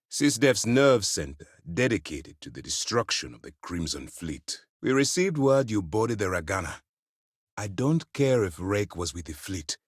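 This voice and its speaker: An actor playing a stern, commanding space military commander in a video game, speaking in a formal, measured, authoritative way. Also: male voice, fairly low pitch, dry recording, clean studio sound. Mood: measured, resolute, then impatient, angry. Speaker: fleet commander.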